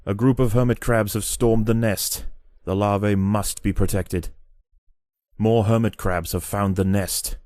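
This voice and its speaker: A man reading scripted narration in the style of a nature documentary, with a measured, dramatic and solemn storytelling delivery. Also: low voice, dark tone, no echo, clean studio sound.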